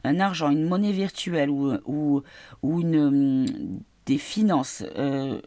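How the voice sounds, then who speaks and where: A woman giving a casual card reading, tired and congested from a cold, halting as she searches for her words. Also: sits low, dark tone. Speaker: card reader.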